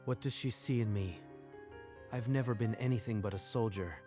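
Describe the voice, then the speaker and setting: An actor voices an earnest prison guard with a fairly low voice. He is a thoughtful, questioning soldier in a dramatic manga dub. Music plays behind him. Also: normal speed, close to an everyday voice. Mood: reflective.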